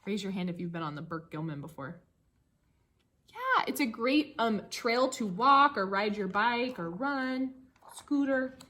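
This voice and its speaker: Female teacher for children's picture-book read-aloud. Slightly high voice, lively singsong intonation, engaging asides to young listeners. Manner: singsong storybook phrasing, pausing to engage listeners.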